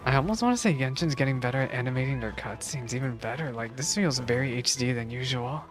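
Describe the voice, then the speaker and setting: A woman's voice: a bass voice, animated, fast talker, faint music behind. A woman giving casual, playful playthrough commentary, talking back to the game as a streamer. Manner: giggly, teasing, talks back to the game. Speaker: gaming streamer.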